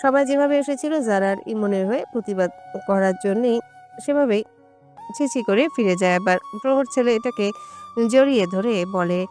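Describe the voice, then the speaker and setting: A woman's voice: fairly high pitch, normal speed, darkish tone, soft background music. A woman narrating a romantic audio story from a script.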